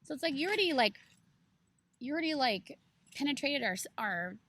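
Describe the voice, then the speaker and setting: A woman with a bright, clear tone talks through a book passage in a loose, casual way. She rambles and circles back, filling her sentences with "like" and "you know". The talk stays earnest and unscripted. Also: high-pitched, expressive, a little fast, dry recording. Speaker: book club co-host. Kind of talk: casual podcast discussion.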